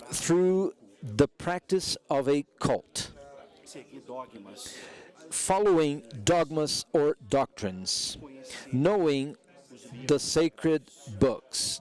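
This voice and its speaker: A man giving a simultaneous interpretation of a conference speech in measured, deliberate phrases. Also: a little high, a little mellow.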